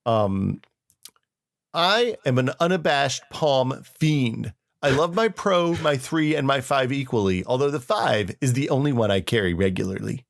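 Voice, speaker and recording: A man's voice: a little high, gravelly. A man co-hosting a podcast in a geeky, conversational way, chatting casually and reading aloud. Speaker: podcast co-host. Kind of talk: casual chat with dramatic readings of old forum posts.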